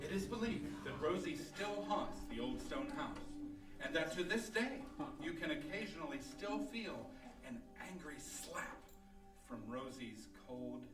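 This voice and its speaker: A man as a high-voiced, self-serious ghost hunter. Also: expressive, a little slow. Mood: mock serious. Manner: melodramatic, solemn mock-documentary delivery. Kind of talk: scripted comic radio narration before an audience. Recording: echoey live stage, distant mic, loud music.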